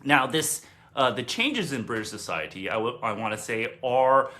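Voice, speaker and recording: A man's voice: mid-range voice, big pitch swings, normal speed, bright, forceful tone. An American man giving a workshop talk as a theologian, hesitant, with many fillers and restarts. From United States.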